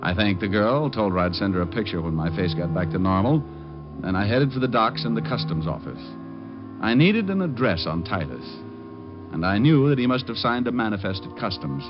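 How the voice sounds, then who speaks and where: Tough, wry gumshoe from an old radio serial. His low voice is clipped and dry, in hardboiled narration and dialogue. The vintage recording is dull, with music behind. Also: very animated, a little fast. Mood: dry, grim. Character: tough, wry male private detective narrating his case.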